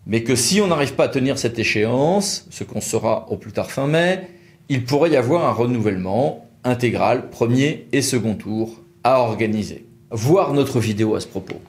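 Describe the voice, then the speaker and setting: A man's voice: medium pitch, expressive, moderate pace. A man with a French accent giving an informal, didactic legal explainer, posing questions and answering them in colloquial terms. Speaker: legal explainer presenting a law firm's videos.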